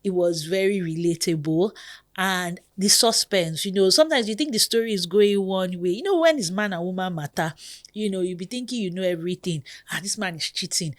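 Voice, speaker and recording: A woman in her thirties from Nigeria with a Nigerian accent, hosting a podcast in a chatty, expressive way. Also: lowish voice, brisk. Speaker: podcast host. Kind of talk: casual podcast monologue.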